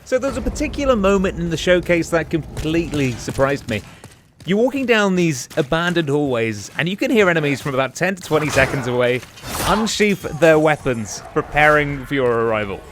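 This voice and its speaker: Male game reviewer, very lively intonation, fairly high voice, emphatic scripted countdown narration, light background music. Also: a little fast, a little hiss or hum. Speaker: video game reviewer and host. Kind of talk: scripted narration of a top five games list.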